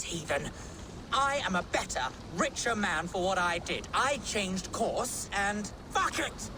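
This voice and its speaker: A man's voice: high-pitched, noisy background, heavily acted. A man playing a sanctimonious converted monk in a video game, self-satisfied, with murderous rage barely buried. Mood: raging. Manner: pious, self-satisfied, slow. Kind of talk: video game cutscene acting.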